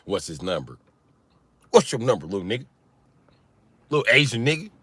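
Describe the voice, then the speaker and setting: A man hosting a casual livestream, teasing and swearing as he banters with viewers in an animated, exaggerated way. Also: moderate pace, slightly rough, no room sound. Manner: teasing, profane mockery; animated, breaks into high-pitched exaggerated mimicry. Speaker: livestream host chatting with viewers.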